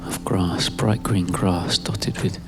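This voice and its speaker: A British man giving an intimate spoken-word narration in a hesitant, musing way, in fragmented phrases with pauses. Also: low-pitched, steady tone, over music. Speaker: writer-performer narrating his own piece.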